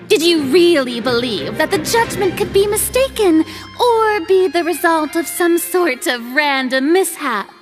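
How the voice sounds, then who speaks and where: A woman's voice: very high voice, a bit bright, heavily acted. A woman playing a haughty young female ruler in a video game, pompous and theatrical, with bluster over nerves.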